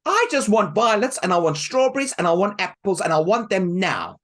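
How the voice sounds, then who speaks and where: A man storyteller voicing a spoiled, demanding young girl who whines loudly for what she wants. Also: high-pitched, big pitch swings, fairly quick, voice pushed up, heavily acted. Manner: whiny, insistent, loud.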